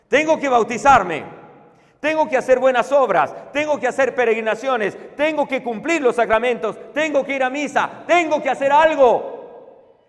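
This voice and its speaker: A man delivers a conference sermon in a notably high voice at an unhurried, deliberate pace. He drives his points with rhetorical questions to the audience. The recording sits in a large hall with a long, lingering reverb. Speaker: preacher.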